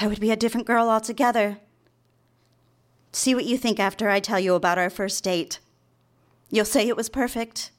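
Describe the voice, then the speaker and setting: An actress playing a demure young secretary in a live radio drama, confessing a secret love in polite, old-fashioned diction. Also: female voice, on the high side, close to an everyday voice. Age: young adult. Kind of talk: live radio drama with first-person narration.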